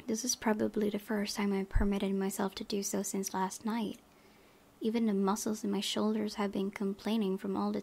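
Creaky-voiced young woman reading aloud. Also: mid-range voice, darkish tone. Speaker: let's play host. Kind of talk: reading visual novel narration aloud.

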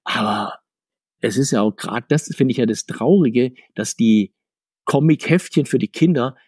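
A middle-aged man answering interview questions, rambling and restarting his sentences, with sudden lifts in pitch. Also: unhurried, darkish tone, slightly rough, no room sound, clean studio sound. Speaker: comics author and guest.

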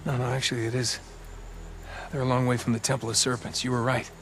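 A man's voice: mid-range voice, level tone, fast, fairly bright tone, slight background noise, close to an everyday voice. An actor playing a cocky, flippant adventurer in his thirties in a video game cutscene, quippy and sarcastic. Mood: determined.

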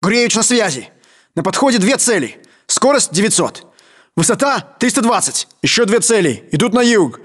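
A man's voice: high-pitched, big pitch swings, moderate pace, fairly bright tone, slightly rough, heavily acted. A man playing a tense soldier giving clipped reports and orders in a military game. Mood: focused, clipped. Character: tense Russian soldier calling out targets and orders.

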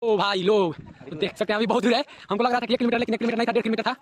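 A young man filming a travel vlog on his phone at arm's length, talking excitedly as he crosses a river and walks to a waterfall. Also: high-pitched, very fast, a crowd in the background. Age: young adult. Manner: excited, calling out and cheering. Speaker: travel vlogger. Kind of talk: casual travel vlog narration. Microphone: phone selfie at arm's length. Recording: noisy outdoor phone recording.

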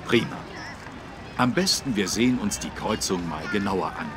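A man playing a friendly policeman who courteously and clearly explains things to children in a learning game. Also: low voice, animated, normal speed, music in the background. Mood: cheerful, welcoming. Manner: instructive, courteous, clearly enunciated. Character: friendly adult male policeman explaining traffic rules to children.

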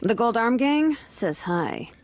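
A woman's voice: fairly high pitch, big pitch swings, takes it slowly. A woman playing a brash, taunting gunslinger outlaw in a video game, cocky and menacing, drawing out her threats. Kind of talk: video game character voice lines.

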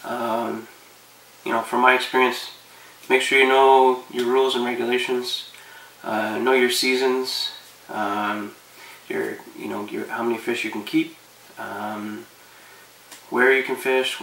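A man in his thirties giving relaxed, rambling fishing advice to camera from his living room couch, with lots of fillers. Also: mid-range voice, a little slow, reverberant, distant mic, a little hiss or hum, rough recording. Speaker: fishing vlogger.

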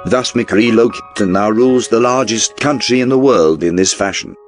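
A man with a fairly low voice delivers scripted documentary narration in the manner of a newsreel. His delivery is formal and measured, and music plays faintly behind him. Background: background music.

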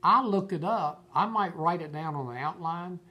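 A man's voice: on the high side, moderate pace, pushed and bright. Older man teaching, earnest and emphatic. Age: older, about 70s. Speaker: Bible teacher. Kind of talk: informal devotional teaching. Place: furnished room at home.

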